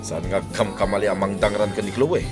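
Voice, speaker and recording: A man's voice: lowish voice, fairly even intonation, fairly quick, natural voice. Man acting grumbling Ilocano husband over music. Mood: conspiring. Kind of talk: Ilocano radio drama acting.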